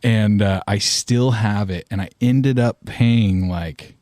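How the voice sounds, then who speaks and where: Male podcast co-host, lowish voice, lively storyteller, dry close mic. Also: slow pace, no echo. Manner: animated storyteller, laughs loudly. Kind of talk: casual chat.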